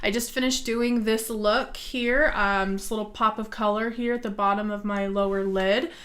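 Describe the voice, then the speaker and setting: A woman beauty vlogger, hoarse from a cold, chatting casually and rambling with many ums while she does her makeup at home. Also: mid-range voice, steady tone, normal speed, fairly bright tone. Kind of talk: casual makeup tutorial chat.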